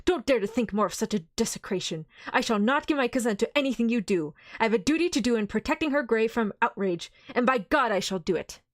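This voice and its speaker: A woman's voice: medium pitch, fairly even intonation, moderate pace, hardly any pauses, no echo, studio quality, close to an everyday voice. A woman voicing a grieving, outraged young English nobleman in a read-aloud novel, speaking in passionate, pleading outbursts.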